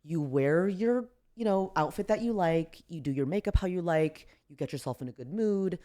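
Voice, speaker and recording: A woman with a deep voice, a comedian guest telling a story in casual podcast chat, relaxed and low-key. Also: normal speed. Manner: relaxed, low-key, acts out quotes with raised voice.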